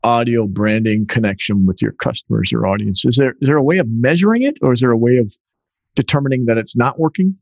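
A man with a mid-pitched voice hosts a casual interview for listeners. He stumbles and repeats words as he works into his questions. His voice sounds dry and close to the mic. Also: fast talker, creaky, dry recording. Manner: hesitant, repeats words when starting questions. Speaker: podcast host.

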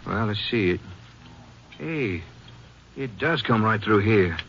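A man's voice: fairly low pitch, animated, takes it slowly, fairly bright tone, full character voice. An American man playing a plainspoken Texas Ranger sidekick in a 1950s radio drama, with a casual, questioning manner. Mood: puzzled, reasoning. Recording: muffled old radio broadcast with faint noise.

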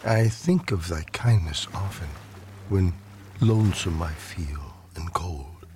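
A man giving a solemn, measured dramatic reading of poetry, often dropping into hushed, breathy phrase endings, as a poetry reader. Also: low voice, a little hiss or hum. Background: ambient sound design.

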